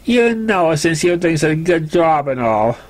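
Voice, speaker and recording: A man's voice: fairly high pitch, sing-song, a little slow, voice pushed up. A man voicing a cocky, careless young female pegasus pony in a storybook reading, brash and boastful. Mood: defensive, boastful.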